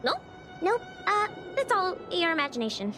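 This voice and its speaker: An actress playing a shy, curious teenage girl researcher in an anime-style game, hesitant and soft-hearted, often trailing off. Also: extremely high pitch, big pitch swings, normal speed, music in the background. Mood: flustered.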